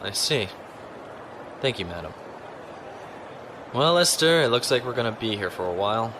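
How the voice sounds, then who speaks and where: A man playing a calm, courteous space navy lieutenant in an audio drama, speaking in a measured, dry way. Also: medium pitch, noisy background, close to an everyday voice. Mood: conversational. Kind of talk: audio drama acting with log narration.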